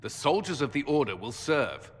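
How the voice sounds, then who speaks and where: A man's voice: mid-range voice, big pitch swings, normal speed, big-room sound. A man playing a blunt, commanding military officer in a video game. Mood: firm. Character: gruff, forceful male military commander. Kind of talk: video game cutscene acting.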